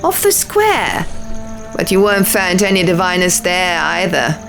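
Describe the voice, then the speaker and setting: A woman plays a brusque townsperson in a fantasy audiobook. The voice is creaky and a little low, swinging in pitch with a dismissive edge, and music plays clearly behind it. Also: sing-song, measured pace, full character voice.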